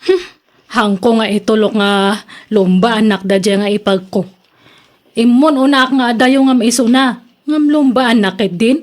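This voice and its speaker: A woman's voice: a little high, moderate pace, a little mellow, natural voice. An actress with an Ilocano accent playing a stubborn, vain woman vlogger hungry for fame, in theatrical radio drama style. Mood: defiant, self-important.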